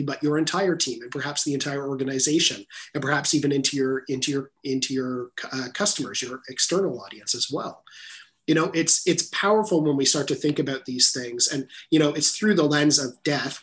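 Male author guest, even mid-range voice, earnest and reflective. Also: mid-range voice. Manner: earnest, measured, repeats words while rephrasing. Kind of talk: reflective interview talk.

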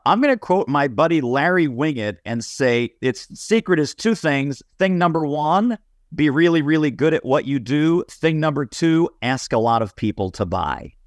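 A man's voice: fairly high pitch, lively intonation, moderate pace, no room sound, studio quality. A man speaking as a guest on a business podcast, giving conversational panel answers.

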